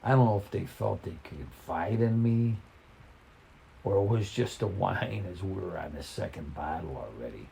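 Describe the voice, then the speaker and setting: An older man reading a personal story in a folksy, plain-spoken, conversational way, with halting, reflective pauses. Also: sits low, gravelly, mellow, slight background noise.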